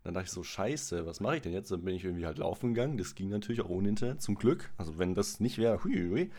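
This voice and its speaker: A man with a very low voice tells small everyday stories in a relaxed, self-mocking way. He talks loosely, with fillers and little asides. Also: fast. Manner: laid-back, self-mocking storyteller. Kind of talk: casual chat.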